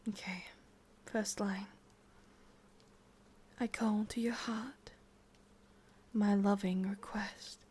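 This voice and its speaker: A woman with an English accent playing a possessive, lovesick witch in a whispered ASMR roleplay, coaxing softly and intimately, close to the microphone. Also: medium pitch, steady tone, extremely slow, pauses often, a little hiss or hum. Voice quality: breathy, whispery.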